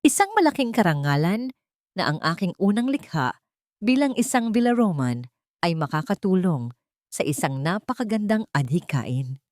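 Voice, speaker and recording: A poised young heiress gives a gracious public speech in an audiobook drama, voiced by a woman narrator. She is composed and sugary polite, with a Filipino accent. The voice is fairly low and dryly recorded. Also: sing-song, normal speed, darkish tone, no echo, studio quality. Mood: sweetly gracious. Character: poised young heiress designer giving sweetly cutting speech.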